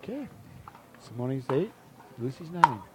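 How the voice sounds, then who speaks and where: A man giving chatty, excitable live commentary on a sports match. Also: mid-range voice, measured pace, heavy background noise.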